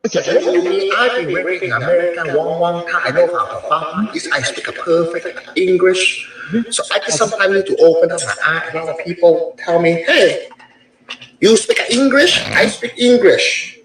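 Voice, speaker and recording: A man's voice: high voice, expressive, moderate pace, roomy sound, crowd noise, low-quality recording. A man speaking emphatically and boastfully in short clipped phrases, as in a comedy bit.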